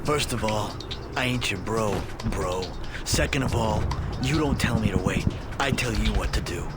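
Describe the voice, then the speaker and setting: An actor playing an arrogant professional mercenary in a military video game, condescending, curt and commanding. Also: male voice, on the low side, normal speed, close to an everyday voice. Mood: scornful. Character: arrogant, abrasive, foul-mouthed professional mercenary man. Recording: loud music under the voice.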